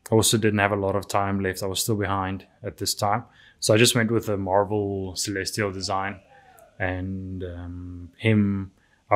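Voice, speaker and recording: A man's voice: lowish voice, a bit bright. A man whose first language is Afrikaans casually talks through his drawings, with many ums and restarts. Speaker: artist reviewing his drawings. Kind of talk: casual narration over artwork.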